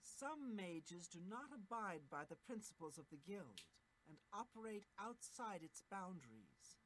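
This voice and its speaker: A woman's voice: mid-range voice, sing-song, a little slow, a little hiss or hum, poor audio. A woman voicing a stern female mage guild official in a video game, speaking in a formal, commanding way. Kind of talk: video game character dialogue.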